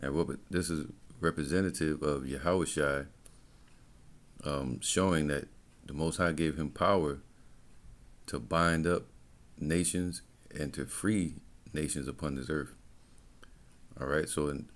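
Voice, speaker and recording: An American man giving informal religious teaching and commentary as he reads, in halting short phrases. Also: rumbling low, fairly even intonation. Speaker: religious camp teacher and presenter.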